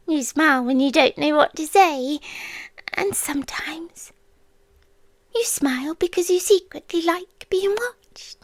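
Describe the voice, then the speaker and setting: A British woman playing an ancient, possessive, inhuman stalker in an intimate ASMR roleplay, speaking in a hushed, deliberate, teasing way that slips into whispers. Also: sits high, no room sound, exaggerated voice. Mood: playful, knowing.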